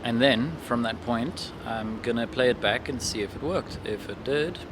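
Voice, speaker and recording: A young adult man talking casually to himself through a demonstration, thinking aloud in short, halting fragments. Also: medium pitch, background noise. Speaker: amateur vlogger.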